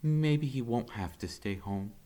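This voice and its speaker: A man voicing a patient, wry mother, speaking gently and dryly. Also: mid-range voice, expressive, unhurried, voice pushed up, natural voice. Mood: gentle wry.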